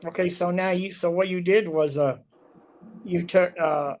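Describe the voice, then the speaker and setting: A man's voice: high voice, fairly even intonation, unhurried, a little mellow, slight background noise. A man hosting a local talk show, chatting casually with a guest and rambling with lots of fillers.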